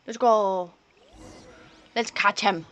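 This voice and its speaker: A woman's voice: mid-range voice, steady tone, drawn-out words, fairly bright tone. A woman doing casual live gameplay commentary, chatting and thinking aloud as she streams. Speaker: game streamer.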